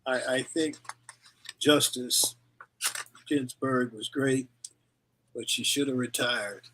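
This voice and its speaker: A man's voice: medium pitch, steady tone, slow pace, sharp tone, a little hiss or hum, low-quality recording. A man hosting a talk show, talking loosely with chuckles and asides before reading a guest introduction. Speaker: show host. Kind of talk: casual radio talk.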